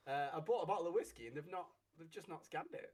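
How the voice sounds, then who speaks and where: A man's voice: a little high, quick pace, a little mellow. A man co-hosting a casual podcast chat, teasing and joking with his friends. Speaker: podcast co-host.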